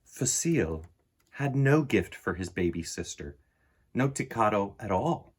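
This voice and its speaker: A man in his forties with a slightly husky voice, reading and presenting a children's picture book in an expressive, dramatic way. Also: lowish voice. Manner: animated, dramatic, with deliberate pauses and emphasis. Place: small indoor room in front of bookshelves.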